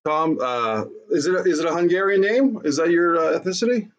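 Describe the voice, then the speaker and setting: A man's voice: on the high side, expressive, brisk. A man guest-hosting a podcast, asking friendly questions with some hesitation. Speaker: guest host. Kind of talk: casual interview.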